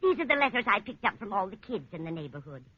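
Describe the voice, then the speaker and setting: A woman playing a kindly, absent-minded older landlady in a 1940s radio comedy, with a husky voice and chatty, motherly manner. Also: medium pitch, sing-song, fast talker, no room sound. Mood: fond, chatty. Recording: dull 1940s radio recording.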